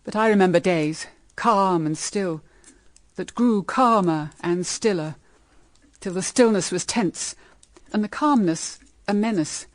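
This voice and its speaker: An actress playing an anxious, brooding middle-aged British mother in a period radio drama, with grave, measured, dramatic phrasing. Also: mid-range voice, no room sound, lightly acted. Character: anxious, brooding mother of two grown daughters.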